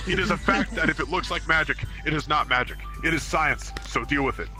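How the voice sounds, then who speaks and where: A man's voice: fairly high pitch, fairly quick, sharp tone. A man reading a comic story aloud as a dramatic narrator, with a mock-serious manner. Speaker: amateur reader of comic fan fiction. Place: online virtual reality hangout. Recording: music playing behind the voice.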